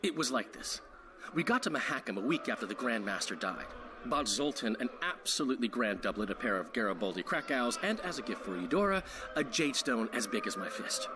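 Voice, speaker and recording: A man playing an excitable, gossipy bard, telling tales dramatically in a fantasy game. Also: mid-range voice, fairly quick, noisy background, close to an everyday voice. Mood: animated, gossipy. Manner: animated, dramatic storyteller. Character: excitable, gossipy, florid adult male bard.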